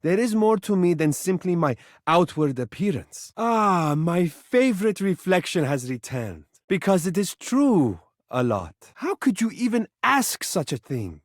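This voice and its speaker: A living mirror from a video game, vain and lavishly affectionate. His voice sits high and swings theatrically up and down. He speaks in pompous, romantic flourishes, recorded close and dry. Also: no room sound. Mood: adoring. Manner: flamboyant, pompous, grandly romantic phrasing.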